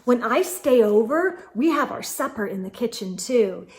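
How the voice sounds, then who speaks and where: A young woman reading a children's picture book aloud, gentle and expressive, with soft hums and asides to young listeners. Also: a little high, normal speed, slight background noise, poor audio. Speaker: children's storyteller.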